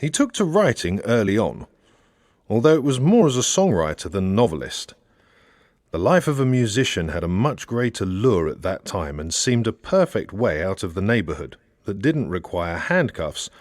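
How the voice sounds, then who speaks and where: Deep-voiced, expressive male audiobook narrator. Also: moderate pace, no room sound, clean studio sound. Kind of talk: scripted narration of a satirical novel.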